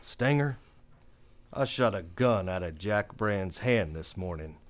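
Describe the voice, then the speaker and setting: A man acts a stern lawman in an old-style western radio drama. His American voice is on the low side. He speaks tersely and dryly, with weary authority. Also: lively intonation, takes it slowly. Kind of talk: old-time western radio play acting.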